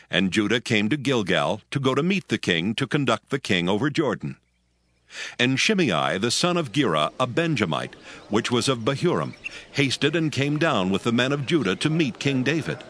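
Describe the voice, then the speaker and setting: A male narrator reading scripture in a steady, grave storytelling manner for a dramatized production. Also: medium pitch, moderate pace, no echo. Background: music.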